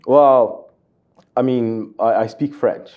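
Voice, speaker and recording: A man's voice: mid-range voice, fairly even intonation, very slow, highs cut off. A man who speaks Somali as his first language and grew up in Canada, chatting as a guest in an interview. He talks easily and repeats words while he looks for the right phrase. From Somali, came to Canada at nine. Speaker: comedian and comedy club organizer. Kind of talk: casual interview chat.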